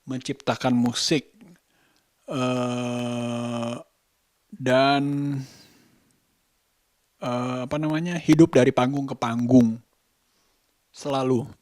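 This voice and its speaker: Slow, hesitant man. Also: medium pitch, steady tone, a little mellow, dry recording. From Indonesia. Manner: hesitant, halting, often searching for words. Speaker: vlogger and musician. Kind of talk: casual unscripted daily vlog monologue.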